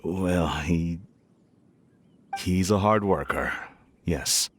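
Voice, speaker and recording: A man's voice: low voice, drawn-out words, a little hiss or hum. A man voicing a worried, regretful, humble middle-aged tea merchant in a video game, in a hesitant and weary manner. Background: faint music behind the voice.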